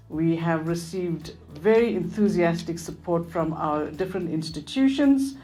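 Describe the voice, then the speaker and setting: Woman, mellow formal welcome. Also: on the low side, rough recording. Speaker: university dean and event coordinator.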